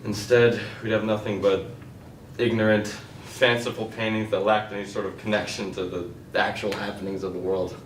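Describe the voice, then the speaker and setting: A man acting on stage as a self-assured, condescending man who argues in a halting way. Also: on the low side, level tone, slight background noise, lightly acted. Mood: smug, dismissive. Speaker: stage actor. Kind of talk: stage play dialogue. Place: indoor stage, recorded from afar. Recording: echoey stage recording from a distance.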